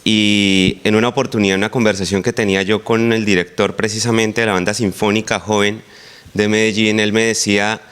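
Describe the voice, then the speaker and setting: A man's voice: fairly low pitch, level tone, brisk, fairly bright tone, clear, resonant tone. A man from Colombia, asking a polite, long-winded question from the audience. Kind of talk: formal question from the floor.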